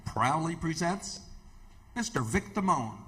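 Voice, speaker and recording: A man's voice: fairly high pitch, very animated, unhurried. A man making a formal, showy sponsor announcement in the style of old-time radio. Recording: hall reverb, music behind.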